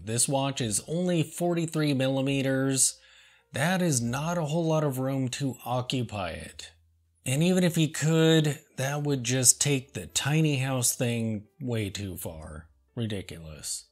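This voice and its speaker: A man with an ordinary, middle-pitched voice talks very slowly and deliberately, landing each sarcastic aside with a dry, deadpan touch. Quiet lounge music plays softly under his voice. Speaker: host. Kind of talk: deadpan comedic commentary reading reviews.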